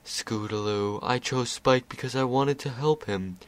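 A man voicing a lazy, sardonic male chaos spirit with a calm, wry drawl. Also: mid-range voice, a little slow, lightly acted.

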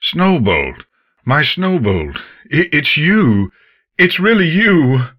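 A deep, emotional pony father whose voice rises and falls widely in ragged bursts, as a narrator plays him. The sound is close and dry, a bit dull and lo-fi. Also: very animated, measured pace, no room sound, exaggerated voice. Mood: overjoyed. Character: grieving father pony, working stallion.

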